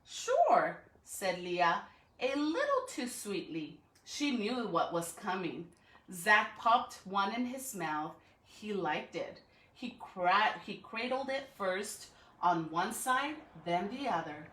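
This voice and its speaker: A woman educator speaks expressively and directly to young children, in read-aloud style. Her voice is bright and her pace unhurried. The recording has a clear room echo. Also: medium pitch, animated. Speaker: school educator reading aloud to students. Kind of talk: storybook read-aloud and greetings to young students. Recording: echoey room.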